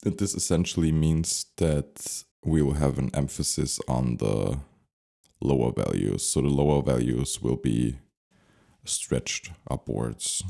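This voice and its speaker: Very deep, creaky-voiced man teaching. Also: lively intonation, slow, dark tone. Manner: thinking aloud, step by step. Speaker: tutorial instructor. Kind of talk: unscripted software tutorial narration.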